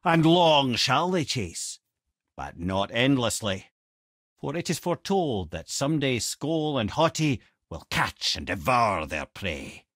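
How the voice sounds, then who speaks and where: A man's voice: medium pitch, fairly bright tone, dry recording, clean studio sound. A male actor voicing a witty, wise, talkative older Scottish companion in a video game, with a wry, eloquent storytelling manner. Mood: solemn storytelling. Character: witty, garrulous, wise severed-head companion, older man.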